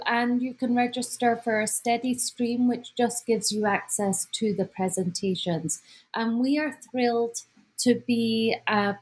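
A woman with a Scottish accent informally presenting an event as its organizer, speaking haltingly as she searches for words. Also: a little high, breathy edge, poor audio. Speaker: symposium organizer, guest speaker.

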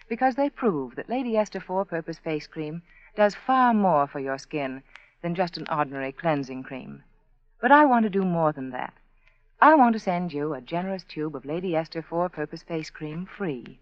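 A woman giving an earnest, intimate sales pitch as the sponsor's spokeswoman in a 1940s radio commercial. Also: dry recording. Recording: dry, dull 1940s radio recording.

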